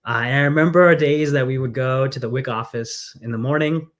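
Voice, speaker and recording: A man's voice: medium pitch, a little fast. A man who grew up in England, speaking as a candidate in a conversational interview, earnest and storytelling with frequent fillers. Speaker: congressional candidate.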